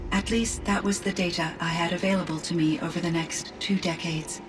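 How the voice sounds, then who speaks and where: A woman playing a calm, analytical female artificial intelligence in a video game, speaking in measured, formal and precise phrases. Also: on the low side, natural voice. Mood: measured, reflective. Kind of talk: scripted video game cutscene acting. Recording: distant sound with loud background noise.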